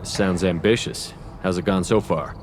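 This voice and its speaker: A human military commander from a video game. He has a low voice and a calm, direct, matter-of-fact way of speaking, with faint noise in the background. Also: a little slow. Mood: businesslike.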